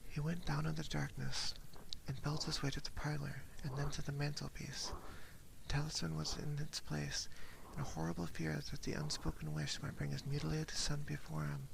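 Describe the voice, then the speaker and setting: A man reading a story aloud in a breathy, partly whispered ASMR style, very close to a binaural microphone. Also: fairly high pitch, flat delivery, moderate pace. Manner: hushed, slips and corrects words while reading. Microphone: close binaural ear microphone. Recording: close binaural mic with clear background noise. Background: rain ambience.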